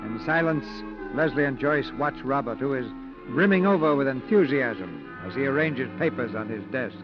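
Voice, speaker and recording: An actor playing a grave narrator in a 1940s radio drama, speaking in a deliberate, formal way. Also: male voice, medium pitch, animated, natural voice. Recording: muffled 1940s radio broadcast. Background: dramatic music.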